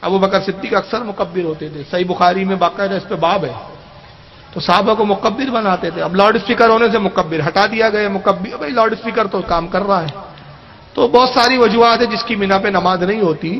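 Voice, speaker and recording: High-pitched male preacher. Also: fairly quick. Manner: emphatic, argumentative, with rhetorical questions. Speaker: Islamic cleric. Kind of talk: religious question-and-answer sermon. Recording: dull, lo-fi audio.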